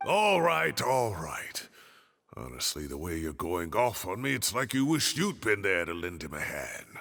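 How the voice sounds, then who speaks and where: Aging boastful game scholar: rough, bright voice, blustering, reverberant hall. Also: male voice, low voice, big pitch swings, unhurried, heavily acted. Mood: defensive. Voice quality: gravelly, raspy. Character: aging self-styled heroic male scholar, boastful and apologetic. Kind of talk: animated video game dialogue.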